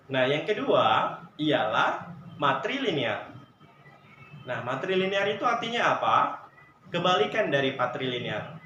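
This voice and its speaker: A male sociology teacher giving an online lesson, explaining through examples, asking the class rhetorical questions and filling pauses with "nah.". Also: medium pitch, fairly bright tone. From Javanese heritage, Indonesia. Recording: echoey room with faint noise.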